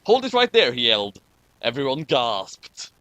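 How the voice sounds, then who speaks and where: A man's voice: mid-range voice, huge pitch swings, unhurried, bright, forceful tone, no echo, soft background music. A man reading fan fiction aloud and chatting about it over an online voice call. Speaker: guest reader of fan fiction.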